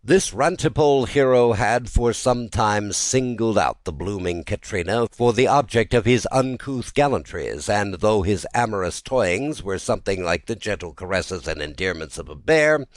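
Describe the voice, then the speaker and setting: Male narrator for classic literature audiobook: low register, highly animated theatrical storytelling, dry studio-style sound. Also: normal speed, no echo.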